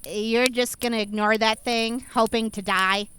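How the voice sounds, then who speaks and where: A woman's voice: on the high side, fairly even intonation, a little slow, pushed and bright, smooth. A woman voicing a hot-tempered, foul-mouthed traveler who speaks bluntly and with exasperation. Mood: annoyed.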